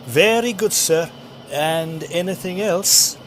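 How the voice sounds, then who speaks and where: An actor playing an obliging, deferential Victorian train conductor with formal, drawn-out courtesy, in a period audio drama. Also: male voice, sits high, slight background noise, low-quality recording. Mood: courteous.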